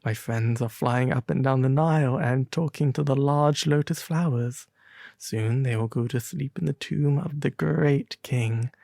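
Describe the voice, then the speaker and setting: A performer doing a proud little storybook swallow, creaky. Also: mid-range voice, warm tone, dry recording, studio quality. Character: small male swallow, proud, chatty, kind-hearted storybook bird.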